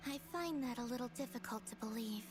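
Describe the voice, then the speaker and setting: An actress playing a guarded, composed young moon goddess in a video game, speaking in a measured, reserved way. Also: female voice, a little high, lightly acted. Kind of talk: dramatic video game dialogue. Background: music.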